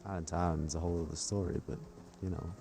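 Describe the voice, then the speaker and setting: A man roleplaying a laid-back frontier man on horseback, teasing in a dry, low-key way. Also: fairly even intonation, fast talker, creaky. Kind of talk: in-character roleplay chat. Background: game music.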